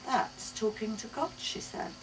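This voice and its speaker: A woman's voice: fairly high pitch, measured pace, pitched above the performer's own voice, natural voice. A woman voicing an inquisitive, insistent, chirpy little daughter in a short story read aloud. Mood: earnest. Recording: distant mic, some room noise.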